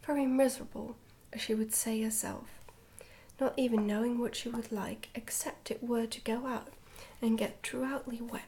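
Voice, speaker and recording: A woman reads a story aloud for ASMR. Her voice is whispered and breathy, sitting slightly high. She tells the tale gently and carefully, keeping everything soft and hushed. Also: flat delivery, moderate pace. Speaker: ASMR book reader.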